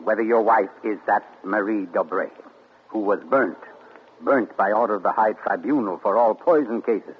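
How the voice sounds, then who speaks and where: An actor in a 1940s radio play voicing a sinister, amused man who studies poisoners, with a husky voice and a mocking chuckle. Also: lowish voice, normal speed, slight background noise, close to an everyday voice. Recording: muffled 1940s radio broadcast.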